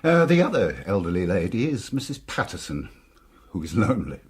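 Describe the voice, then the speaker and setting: An actor playing a genial, chatty family doctor, speaking a little hesitantly in a radio drama. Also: male voice, low-pitched, wildly swooping pitch, fairly quick, a little mellow, slightly rough, heavily acted. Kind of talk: British radio drama acting.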